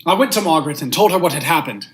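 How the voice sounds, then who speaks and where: A guilt-haunted judge in an old radio thriller acted by a young man, with a high, bright voice. He is melodramatic and panicky, stammering when rattled. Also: expressive, rapid-fire. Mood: panicked narration. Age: young adult. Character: panicked, guilt-haunted judge, murderer narrating his own downfall. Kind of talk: vintage radio thriller acting and narration.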